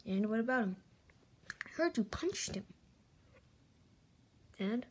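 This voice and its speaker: A woman's voice: fairly high pitch, lively intonation, takes it slowly, darkish tone, a little hiss or hum, pitched below the performer's own voice. A woman voicing a tough schoolyard bully who protects his brother, blunt and threatening. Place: carpeted bedroom floor.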